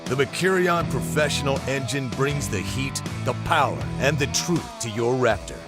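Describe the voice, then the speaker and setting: A man's voice: mid-range voice, expressive, normal speed, close to an everyday voice. An actor playing an earnest, grandiose machinery salesman in a video game advert, speaking in solemn slogans. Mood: earnest pitch. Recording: loud guitar music underneath.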